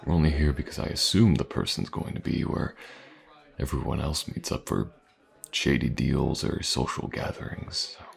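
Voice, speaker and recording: An actor playing a gruff, wary, self-assured mercenary swordsman in a fantasy audio roleplay, speaking in a dry, terse way. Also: extremely low pitch, normal speed, full character voice. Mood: dry, confident.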